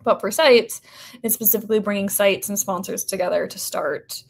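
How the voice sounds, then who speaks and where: A woman's voice: mid-range voice, fairly even intonation, moderate pace, creaky. An American woman in a casual interview conversation, speaking informally and hedging with lots of "like" and "sort of". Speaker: podcast guest, company representative.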